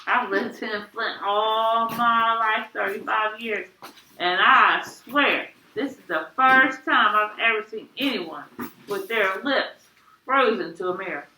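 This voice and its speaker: A woman's voice: on the high side, slow, bright, forceful tone, heavily acted. A woman voicing an amused, exasperated father in his thirties who likes to tease, in a story read aloud. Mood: amused, baffled. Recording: echoey, distant mic, faint noise.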